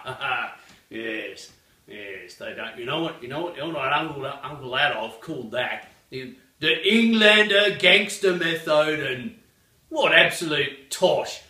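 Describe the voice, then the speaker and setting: A man's voice: on the high side, bright, forceful tone. A man playing a blustering old British war veteran with an upper-class English accent, ranting indignantly and guffawing in a comedy monologue. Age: older. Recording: echoey room, distant from mic.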